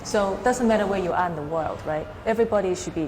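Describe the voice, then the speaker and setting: A woman's voice: medium pitch, fast, noisy background. A woman hosting a talk show, asking casual interview questions in a hesitant, pieced-together way.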